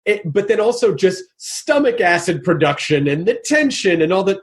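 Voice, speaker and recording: A middle-aged man hosting a casual interview, thinking aloud with many restarts and growing animated when he acts out what someone might say. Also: sits high, normal speed. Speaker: podcast host.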